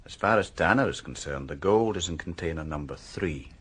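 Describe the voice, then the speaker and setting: An actor playing a shrewd, confident senior detective in a radio drama, laying out plans in a measured, husky voice. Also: male voice, low voice, expressive, a bit bright, full character voice. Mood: sly, confident. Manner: measured, assured, explanatory. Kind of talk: naturalistic radio drama dialogue.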